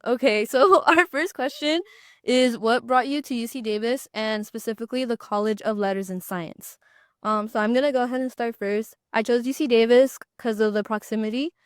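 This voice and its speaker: A woman's voice: fairly high pitch, normal speed, clean tone, dry recording, studio quality. A young woman co-hosting a casual student podcast, friendly and easygoing. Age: young adult. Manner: friendly, easygoing, light laughs. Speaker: podcast co-host, student ambassador. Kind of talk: casual chat.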